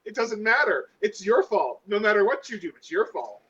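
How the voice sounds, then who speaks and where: A woman's voice: medium pitch, very animated, normal speed, fairly bright tone, breathy edge. A woman bantering sarcastically as a player in a tabletop role-playing game, on a lo-fi microphone. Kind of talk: casual banter.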